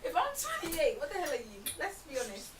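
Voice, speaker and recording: A young woman talks quickly and teases with a high, bright voice. She sits some way from the mic in an echoey room, with steady hiss behind her. The chat is loose and casual, among friends. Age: 20s. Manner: teasing, quick-witted. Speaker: podcast co-host. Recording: roomy, distant mic with hiss.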